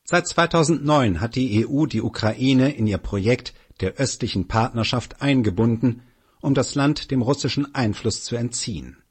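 A man's voice: mid-range voice, expressive, normal speed, breathy edge. A man reading a political article aloud as scripted narration. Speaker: reader of an audio article.

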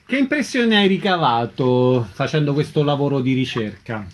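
A man's voice: mid-range voice, expressive, moderate pace, slight background noise. A man hosting a radio programme, presenting items and putting questions to guests. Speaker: radio interviewer and host. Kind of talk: scripted radio presenting and interviewing.

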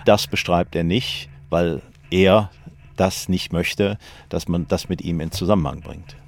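A man with a deep voice gives explanatory commentary in a documentary. He sounds measured and analytical, setting out his points one after another. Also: gravelly. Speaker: commentator on a historical memoir.

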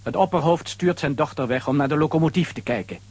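A man's voice: a little high, fast talker, darkish tone. A man narrating a children's radio play as a scripted storyteller.

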